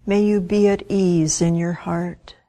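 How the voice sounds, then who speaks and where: A woman guides a meditation in a slightly low voice. Her delivery is very slow and gentle. She speaks in short phrases and leaves long, unhurried pauses between them. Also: fairly even intonation. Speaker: meditation teacher.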